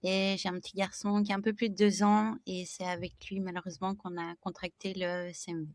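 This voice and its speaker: A woman in her late thirties from Switzerland, a podcast guest, who speaks softly and trails off quietly. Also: mid-range voice, level tone, fairly quick, dry recording, clean studio sound. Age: 30s. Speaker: guest, association co-founder. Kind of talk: casual podcast interview.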